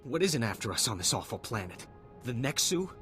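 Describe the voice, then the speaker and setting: An actor playing a nervous, earnest, war-weary soldier in a video game. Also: male voice, medium pitch, sing-song, brisk, pushed and bright, faint music behind. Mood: bitter, weary.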